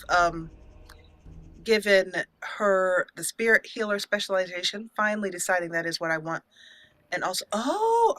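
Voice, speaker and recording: A woman's voice: mid-range voice, bright, forceful tone, no echo. A woman hosting a casual let's play, chatting playfully with mock indignation and mild joke swearing. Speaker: gaming channel host.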